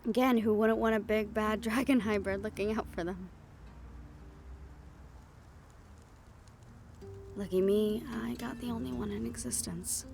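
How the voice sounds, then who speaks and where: A woman's voice: mid-range voice, fairly even intonation, fairly quick, gravelly, soft background music, natural voice. A woman playing a young, wry and affectionate siren-banshee hybrid in an intimate roleplay audio drama, talking in a teasing, conversational way. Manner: soft-hearted, teasing, conversational storytelling.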